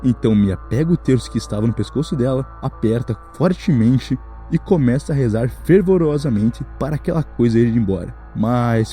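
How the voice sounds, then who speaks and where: A Brazilian man narrates horror with a very dark, mellow voice. His pitch moves in lively swings as he builds suspense and addresses the listener. Music plays behind him. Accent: Brazilian Portuguese. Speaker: storytelling narrator. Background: background music.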